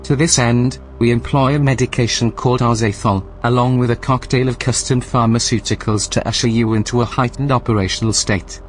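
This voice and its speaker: An actor playing a formal, measured male artificial intelligence in a science-fiction audio drama. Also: medium pitch, steady tone, slight background noise, close to an everyday voice. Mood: calm, apologetic. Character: calm, clinical male artificial intelligence running a research station.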